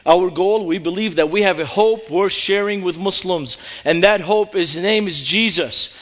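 Animated Lebanese man preaching. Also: sits high, normal speed. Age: middle-aged. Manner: animated storytelling, rising emphasis. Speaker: guest preacher. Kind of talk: sermon. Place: church.

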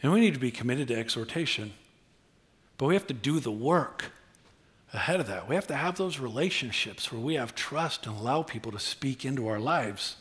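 A middle-aged male pastor giving a sermon, conversational and humorous, swinging from quiet asides to loud bursts. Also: mid-range voice, animated, fairly quick. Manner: humorous, conversational preaching with big swings in loudness.

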